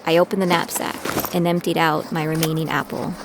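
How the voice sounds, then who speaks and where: A woman's voice: sits low, level tone, a little fast, background noise. A woman playing a gritty young female survivor who tells her own story as scripted audio drama narration. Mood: reflective, measured. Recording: music bed behind the voice.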